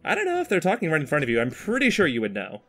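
A young man hosting a casual podcast in an animated, teasing way, bantering while drawing. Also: a little high, racing. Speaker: podcast host.

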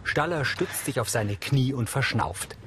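A man's voice: medium pitch, lively intonation, unhurried, background noise. A man reading factual audio description in a neutral, scripted style. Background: music.

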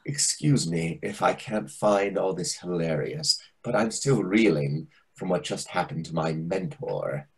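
Husky, low-voiced, excitable waiter played by a man. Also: a little slow, hardly any pauses, full character voice, low-quality recording. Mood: indignant, defensive. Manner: indignant, animated. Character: defensive, excitable restaurant waiter, longing for respect. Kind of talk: readers theatre comedy acting. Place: indoors on a video call. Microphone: computer microphone on video call.

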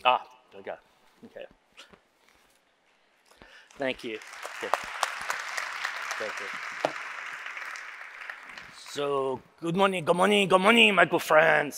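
A man's voice: mid-range voice, expressive, unhurried, vocal fry, long pauses, over a noisy crowd, heavy background noise. A man in his sixties giving an informal, self-deprecating, rambling conference talk from an auditorium stage. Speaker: keynote lecturer. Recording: long hall reverb.

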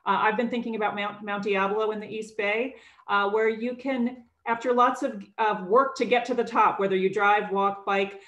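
A woman acting as host and opening a virtual summit over a video call, brisk and upbeat. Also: mid-range voice, steady tone, fairly bright tone, lo-fi sound. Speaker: state department director, host. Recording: roomy video call audio.

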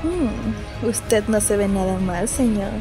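A woman's voice: mid-range voice, takes it slowly, over music, lightly acted. A woman with a Latin American accent playing a casual, teasing, outspoken young girlfriend in a comedy fan dub. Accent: Latin American Spanish.

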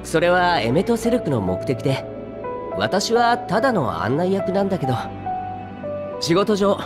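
Affable, lilting young mage. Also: male voice, high-pitched, big pitch swings, unhurried, music in the background. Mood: friendly, apologetic. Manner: breezy, friendly, courteous. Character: affable, easygoing, courteous young male robed mage guide. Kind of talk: Japanese video game voice acting.

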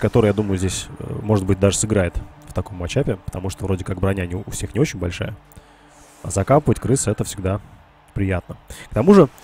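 Nasal, husky, deep-voiced man. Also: mellow. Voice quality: nasal, congested. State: sick with a cold. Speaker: game streamer. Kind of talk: casual live game commentary to chat.

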